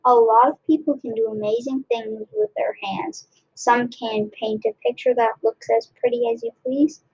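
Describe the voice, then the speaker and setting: A woman reading a children's book aloud expressively, in a deliberate way with dramatic pauses. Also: on the high side, lively intonation, vocal fry, a little mellow, slightly rough, lo-fi sound. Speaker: read-aloud narrator.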